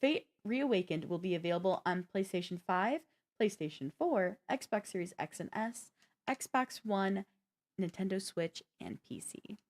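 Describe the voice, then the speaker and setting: A woman's voice: medium pitch, animated, measured pace. A woman co-hosting a gaming news show, talking to her audience in a casual, conversational way while partly reading from notes. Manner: conversational, addresses the audience directly.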